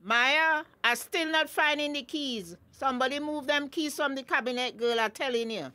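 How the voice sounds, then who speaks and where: A woman's voice: high voice, moderate pace, sharp tone. A woman playing a worried, suspicious middle-aged Tobagonian mother in a radio drama, agitated and insistent. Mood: annoyed. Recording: clear background noise with clicks.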